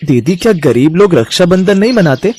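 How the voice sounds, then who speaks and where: A man's voice: sits high, sing-song, fairly quick, a little mellow, slight background noise. A man voicing a wistful, affectionate young schoolboy in a cartoon story, earnest and childlike. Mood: earnest, inviting.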